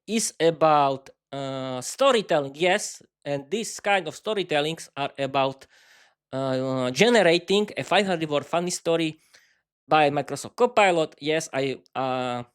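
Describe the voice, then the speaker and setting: Halting Slovak-accented man rambling. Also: on the high side, clean tone. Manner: halting, frequent restarts and fillers. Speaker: podcast host. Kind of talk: unscripted solo monologue.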